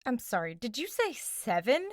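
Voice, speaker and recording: A woman's voice: a little high, sing-song, normal speed, no echo. A young American woman playing a wry, skeptical but loyal friend who questions directly in a naturalistic audio drama. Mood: incredulous, sardonic. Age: young adult. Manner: dry, sardonic, direct.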